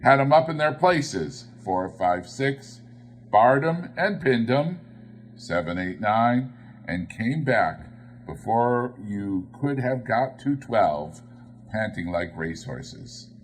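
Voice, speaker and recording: Slow, highly animated male storyteller. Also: slight background noise. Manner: theatrical, expressive storyteller. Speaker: audiobook narrator. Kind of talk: dramatic literary story reading.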